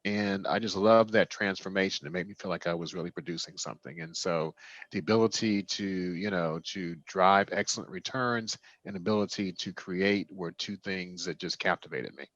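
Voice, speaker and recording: A man in his fifties from Washington, D.C., a real estate developer answering interview questions in a thoughtful way with plenty of pauses and restarts. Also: low-pitched, fairly bright tone, no room sound, muffled recording. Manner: thoughtful, many fillers and restarts.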